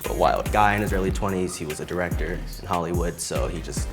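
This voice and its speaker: A man's voice: deep voice, fast talker, music in the background. A young man, an actor, introducing himself casually and conversationally as a dating show contestant.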